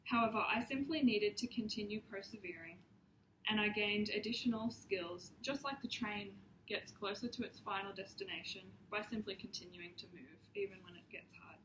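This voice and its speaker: A young woman reading a prepared class presentation in a measured, earnest way, with occasional ums and restarts. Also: mid-range voice. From Papua New Guinea. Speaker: student presenter. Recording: echoey room, faint background hiss.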